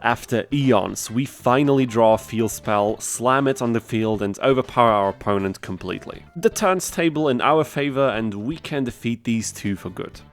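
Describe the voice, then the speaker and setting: A man's voice: medium pitch, expressive, moderate pace, few pauses. A man narrating a video game challenge from a script, with wry, conversational asides. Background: background music.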